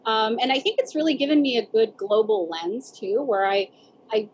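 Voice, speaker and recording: A woman's voice: on the high side, normal speed, slight background noise, low-quality recording. A woman with an American accent reflecting casually on her own life as an interviewee, often saying "like" and "you know". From San Diego border region, United States. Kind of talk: reflective casual personal storytelling.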